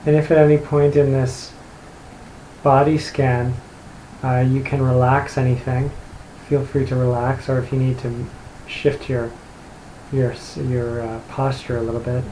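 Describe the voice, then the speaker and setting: A man guides a meditation in a mellow, relaxed voice with very little rise and fall. He speaks gently, leaving long pauses between simple instructions. The room adds noticeable echo around his words. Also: medium pitch. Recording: roomy echo, light background noise.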